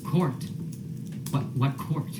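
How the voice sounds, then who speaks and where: A man playing a weary, evasive Puritan farmer husband in a radio drama, speaking haltingly and repeating his words. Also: mid-range voice, background noise, close to an everyday voice. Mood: disbelieving. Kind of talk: period radio drama acting.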